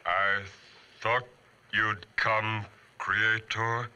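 An actor playing a lonely, pleading giant monster in halting phrases, in the style of 1940s radio horror. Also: male voice, fairly low pitch, level tone, noisy background. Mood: menacing. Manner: slow, halting, deliberate phrasing.

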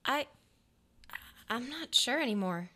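An actress playing a gentle, wistful wife in a radio noir drama, a little hesitant. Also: female voice, fairly high pitch, lively intonation, slow pace, exaggerated voice.

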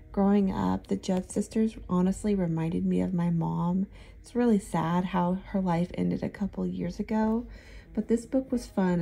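A woman in her thirties narrating a thrift shopping trip in a chatty, relaxed, easily amused way. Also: mid-range voice, creaky, husky. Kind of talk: casual voice-over narration.

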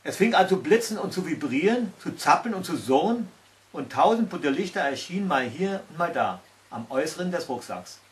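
An older man tells a fairy tale, reading it aloud. His voice sits a little high for a man, and he is recorded in a small room. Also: sing-song, fairly quick. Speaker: storyteller. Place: small indoor room by a tiled stove.

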